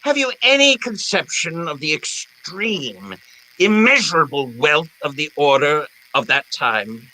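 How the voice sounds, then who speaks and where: Pompous, swooping, genteel crime boss. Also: male voice, fairly high pitch, animated, unhurried, sharp tone, heavily acted, low-quality recording. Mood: grandly expansive. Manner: florid, formal, elaborately courteous. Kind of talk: old-time radio drama acting.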